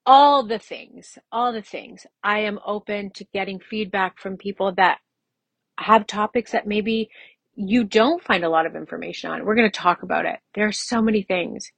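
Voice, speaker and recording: A woman's voice: mid-range voice, normal speed, creaky, no echo. A woman in her mid-forties hosting a solo podcast, talking in a personal, conversational way and repeating phrases for emphasis. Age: mid-40s. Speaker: podcast host.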